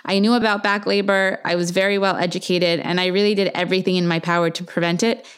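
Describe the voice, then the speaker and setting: A woman's voice: on the low side, fairly even intonation, fast, clean tone. A woman in her thirties, born in Argentina and raised in the U.S., candidly recounting her son's birth in an earnest, reflective monologue. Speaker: mother telling her birth story. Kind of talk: personal storytelling monologue.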